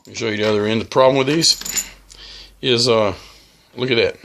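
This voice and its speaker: A man giving a casual hands-on demonstration as a radio hobbyist, talking in a halting, plain-spoken, cautionary way. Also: mid-range voice, vocal fry, noisy background. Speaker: amateur radio hobbyist presenting a how-to video.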